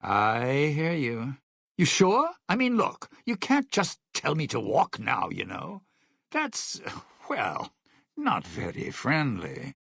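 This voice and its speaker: A smug, suspicious old crooner turned thief for a video game. The voice is raspy and bright, with a sharp edge. He takes his time with wry showman's patter, sardonic and distrustful. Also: a little high, wildly swooping pitch, slow pace, no room sound, studio quality, heavily acted. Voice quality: raspy, gravelly. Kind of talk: in-game character dialogue and epilogue narration.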